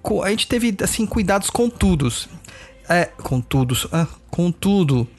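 A man's voice: high-pitched, sing-song, measured pace, darkish tone. A man with a Brazilian Portuguese accent hosting a podcast, chatting informally with his nose stuffed up from a cold. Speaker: podcast host. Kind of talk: casual podcast update.

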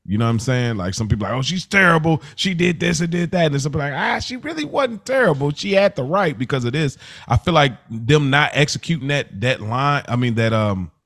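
A man's voice: a little high, animated, a little fast, hardly any pauses, fairly bright tone, no echo, clean studio sound. An American man hosting a casual TV discussion podcast, talking colloquially, thinking aloud and checking in with his listeners. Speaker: podcast host.

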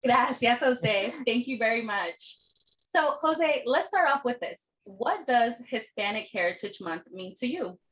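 A woman's voice: on the high side, lively intonation, measured pace, a bit bright, poor audio. A woman from the United States hosting a podcast, asking questions in a friendly, encouraging way. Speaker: podcast host. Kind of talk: podcast interviewing.

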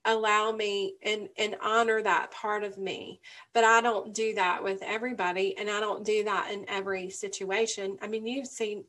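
A woman's voice: medium pitch, pushed and bright. A middle-aged woman speaking thoughtfully and openly, searching for her words in a casual conversation.

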